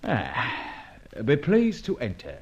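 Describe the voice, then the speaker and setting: A man's voice: a little high, wildly swooping pitch, slow, heavily acted. A wily ex-safecracker in an old radio serial. He mutters colloquially with a lot of up and down in his voice. The recording is dull with faint noise. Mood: sly, amused. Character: chuckling working-class reformed safecracker, humble and wily. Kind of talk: old-time radio drama acting.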